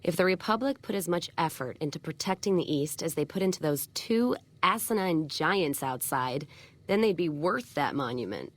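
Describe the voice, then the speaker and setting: An actress playing a tough, foul-mouthed, cynical caravan boss in a video game, blunt and sardonic with folksy frontier phrasing. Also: female voice, low-pitched. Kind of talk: video game character dialogue.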